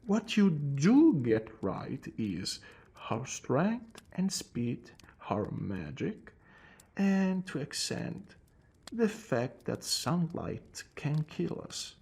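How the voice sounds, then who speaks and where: A centuries-old male vampire, acted by a man for an ASMR roleplay, calm, courteous and wry. He speaks slowly and intimately to the listener, slipping into whispers. The voice is husky with a gravelly fry, and it pauses theatrically. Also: a little high, full character voice. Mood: informative, wry. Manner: slow, hushed, drifting into whispers, theatrical pauses.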